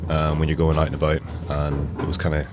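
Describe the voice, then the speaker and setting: A man's voice: very deep voice, brisk, background noise. A Northern Irish man in his thirties, a DJ and producer, chatting casually with lots of fillers in an interview. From Belfast, Northern Ireland. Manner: relaxed, rambling, many fillers. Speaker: guest DJ and producer. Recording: music playing underneath.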